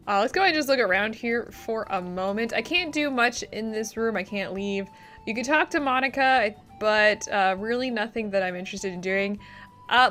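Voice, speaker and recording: A woman's voice: mid-range voice, fairly bright tone. A woman hosting a let's play, giving casual game commentary. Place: voice-over on game capture. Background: game music.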